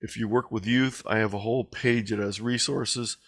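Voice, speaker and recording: A man's voice: lowish voice, steady tone, normal speed, fairly bright tone, dry recording, clean studio sound. A man speaking as a guest presenter in an online meeting, explaining things conversationally and taking questions. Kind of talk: informal presentation and question-and-answer.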